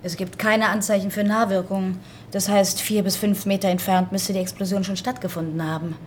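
A woman's voice: medium pitch, little pitch movement, a little fast, slight background noise, lightly acted. A woman playing a young, single-minded army pathologist in a radio drama, clinical and matter-of-fact. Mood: sober, detached. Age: 30s.

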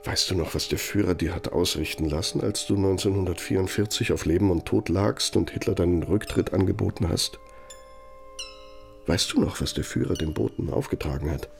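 Bitter older guy in a radio play, gravelly and low, needling sarcasm, veiled threats to his old boss. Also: faint music behind. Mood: bitter reminiscing. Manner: sarcastic, needling, emphatic, drawn-out phrasing for menace. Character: bitter, sardonic older former right-hand man, quietly threatening. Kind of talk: radio play dramatic monologue.

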